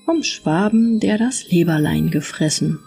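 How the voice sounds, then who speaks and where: A woman reading a scripted fairy-tale audiobook as narrator, with expressive storytelling. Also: on the low side, unhurried, husky, soft background music.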